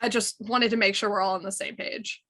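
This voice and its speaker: A woman speaks in a medium-pitched, bright and crisp-edged voice. She is an actor chatting casually as she introduces herself. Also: steady tone, brisk.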